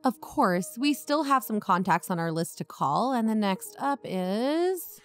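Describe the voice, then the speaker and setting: A woman's voice: mid-range voice, very animated, unhurried, faint music behind. An actress playing a blunt, matter-of-fact young woman co-hosting an investigative podcast in an audio drama. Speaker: podcast co-host. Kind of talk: fiction podcast narration and dialogue.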